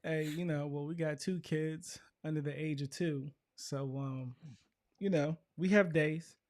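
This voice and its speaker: A man's voice: a little high, fairly even intonation, unhurried, no echo, studio quality. A man hosting a live show in a casual, colloquial, chatty way. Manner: informal, colloquial. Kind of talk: casual livestream chat.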